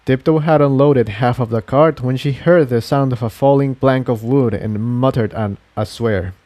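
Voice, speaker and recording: A man's voice: medium pitch, moderate pace, mellow, no room sound. Man narrating a story, very dry recording. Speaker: fan fiction narrator.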